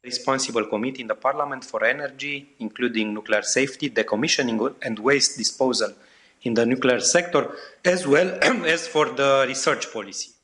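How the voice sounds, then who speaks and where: Bright-voiced man reading a formal statement. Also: medium pitch. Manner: formal, reading with filler pauses. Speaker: committee chair.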